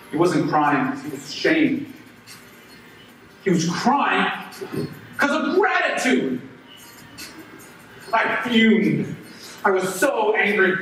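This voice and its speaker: A bitter, jealous young farmer pours out his grievance in an impassioned stage monologue, as a man plays him. The voice sits high for a man and comes from well back from the mic. Heavy room echo surrounds it, with a faint hiss underneath. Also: pushed and bright, heavily acted. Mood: brooding. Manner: impassioned, swings from brooding to ranting. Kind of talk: first-person dramatic monologue. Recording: very echoey hall, voice from far off, faint noise.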